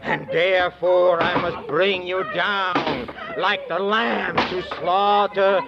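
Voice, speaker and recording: An actor playing a mad, educated religious fanatic who preaches scripture haltingly and fervently in an old-time radio drama. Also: male voice, steady tone, vocal fry, loud music behind the voice, exaggerated voice. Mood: rising frenzy. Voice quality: low voice. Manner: hesitant, halting, fervent scripture-quoting speech. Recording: muffled vintage radio recording.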